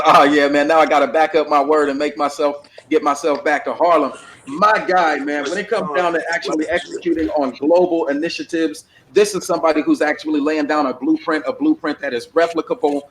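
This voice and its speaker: A man's voice: a little high, steady tone, brisk, fairly bright tone, slight background noise, poor audio. A man hosting a casual livestream panel discussion, talking bluntly and with plenty of profanity over a desktop microphone. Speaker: panel host.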